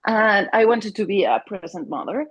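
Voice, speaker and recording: A woman's voice: mid-range voice, moderate pace, muffled recording. Earnest woman with a Mexican accent. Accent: Mexican Spanish. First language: Spanish. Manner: earnest, many restarts and fillers. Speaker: family therapist. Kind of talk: interview conversation.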